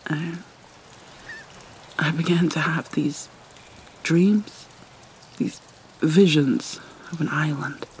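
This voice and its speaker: A woman playing a haunted, sorrowful vampire mermaid in a soft, breathy ASMR roleplay, halting and often slipping into a whisper. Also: low voice, rough recording. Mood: troubled. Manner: halting, mournful, dramatic pauses. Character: vampire mermaid, sorrowful young-looking princess, centuries old, haunted. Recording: steady rain and water noise behind. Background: rain ambience.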